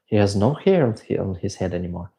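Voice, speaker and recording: Swiss guy w a german accent, warm lowish voice, chatty live stream q&a host. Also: lively intonation, fast talker, creaky. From Switzerland. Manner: chatty, reads out chat questions and answers at length. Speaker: livestream host answering viewer questions. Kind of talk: casual live Q&A chat.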